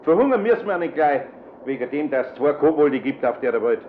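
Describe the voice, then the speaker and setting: A man's voice: fairly high pitch, expressive, a little fast, slight background noise, close to an everyday voice. A male actor playing an older, fatherly master carpenter with a Bavarian accent in a radio play, plain-spoken and homely. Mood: good-natured. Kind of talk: German radio play acting. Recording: narrow, tinny old radio recording.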